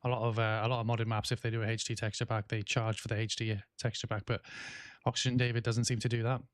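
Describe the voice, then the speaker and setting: A man in his thirties with an English accent tinged with Welsh, chatting casually on a game stream while coughing and clearing his throat now and then. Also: lowish voice, level tone, fast talker, no echo, clean studio sound. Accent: English with a Welsh twang. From England. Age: 30s. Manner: rambling, many fillers and restarts. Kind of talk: casual livestream chat, reading chat aloud.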